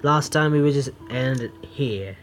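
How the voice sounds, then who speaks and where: A man reading game text aloud as a streamer, halting and stumbling, with casual asides. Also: mid-range voice, slight background noise. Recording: music under the voice.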